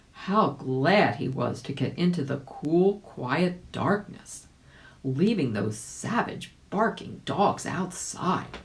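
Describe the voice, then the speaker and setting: A woman reading a children's story aloud in an expressive, animated way, addressing young listeners directly. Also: low-pitched. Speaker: volunteer audiobook reader.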